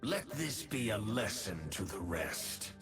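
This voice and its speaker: A grim male demon archer bent on revenge for a fantasy game. His lines come slow and low with a quiet menace. Game music plays clearly behind him. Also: very animated.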